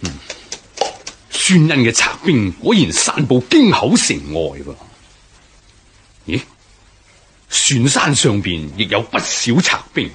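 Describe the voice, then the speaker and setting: A bold army officer of old in a historical radio drama. His voice is very rough and breathy, swinging widely in pitch as he commands with confidence. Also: wildly swooping pitch, slow, pauses often, fairly bright tone, heavily acted. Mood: confident. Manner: declamatory, commanding.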